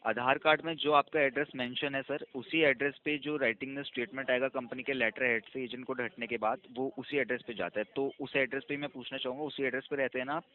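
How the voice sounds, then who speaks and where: Man, phone-line audio, persuasive, step-by-step explaining, mid pitch. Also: steady tone, fast, hardly any pauses. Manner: smooth, procedural, persuasive, mixing English terms. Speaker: phone caller posing as insurance agent. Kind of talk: scripted sales phone call.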